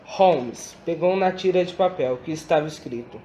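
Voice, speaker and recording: Brazilian guy narrating a school radio play, reads it out carefully, voice pretty high, faint hiss. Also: moderate pace, warm tone. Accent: Brazilian Portuguese. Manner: careful read-aloud delivery. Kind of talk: reading narration in a student radio drama.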